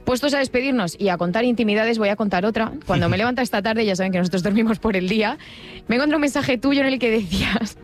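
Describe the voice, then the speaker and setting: A woman's voice: a little high, fast, soft background music. A woman with an accent from Spain, co-hosting a radio programme in a conversational style. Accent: Spanish from Spain. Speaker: radio co-host.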